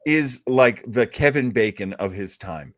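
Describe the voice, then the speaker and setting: A man's voice: fairly low pitch, lively intonation, a little slow. A man hosting a live web show in a casual, chatty style, effusive with his thanks and praise. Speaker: host of a live web show about old-time radio and film.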